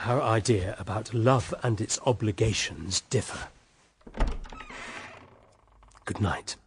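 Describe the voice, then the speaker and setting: An actor playing a jealous, proud British husband in a period radio drama, formal, severe and accusing. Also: male voice, lowish voice, moderate pace, slight background noise, close to an everyday voice. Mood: cold, resolute. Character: stern, jealous, proud husband, cold and unforgiving.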